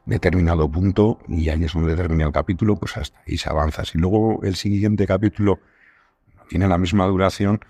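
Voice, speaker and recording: Deep-voiced man chatting on a podcast. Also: steady tone, a little fast, a little mellow, breathy edge. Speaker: scriptwriter guest on a podcast. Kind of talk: casual podcast chat.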